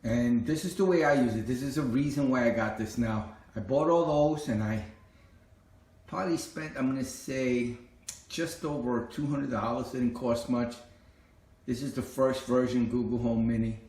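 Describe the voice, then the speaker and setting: A man's voice: mid-range voice, moderate pace, darkish tone. Middle-aged man explaining things, echoey room. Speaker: home tech vlogger. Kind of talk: casual explanatory home walkthrough.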